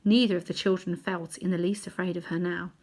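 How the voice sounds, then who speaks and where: A woman reading a children's novel aloud in chapters, adding gentle asides to her listeners. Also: fairly low pitch, little pitch movement, a little fast. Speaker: storybook reader.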